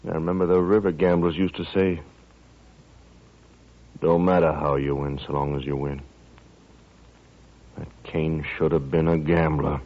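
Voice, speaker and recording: A callous frontier gambler and gunfighter. He is brash, mocking and defiant in a very low voice, heard on a muffled old radio western recording. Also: flat delivery, measured pace, long pauses. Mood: resigned. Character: cocky, callous male riverboat gambler and gunfighter. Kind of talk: 1950s radio western acting.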